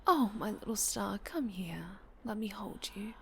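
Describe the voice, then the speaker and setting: A woman playing a possessive, obsessive winged dark-angel superhero, sinister yet doting, in an intimate, breathy ASMR roleplay that often slips toward whispering. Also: mid-range voice, lively intonation, measured pace, slight background noise. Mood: tender, possessive.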